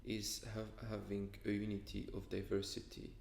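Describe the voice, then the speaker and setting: A male student from Tunisia giving steady, deliberate interview answers. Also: on the low side, vocal fry, roomy sound. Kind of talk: casual on-camera interview.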